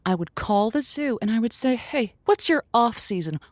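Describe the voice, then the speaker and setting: A woman's voice: on the high side, animated, moderate pace, darkish tone, no echo, studio quality, natural voice. A woman playing a perky, folksy radio advice host, effusive, earnest and reassuring, in improvised comedy. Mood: encouraging.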